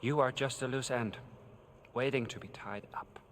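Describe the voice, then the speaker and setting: An actor playing a coldly genteel, menacing villain in a video game, speaking in a formal, condescending, theatrical way. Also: male voice, mid-range voice, steady tone, moderate pace, slight background noise, lightly acted. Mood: scornful, threatening. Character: coldly genteel, menacing male villain commanding armed men. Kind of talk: dramatic video game acting.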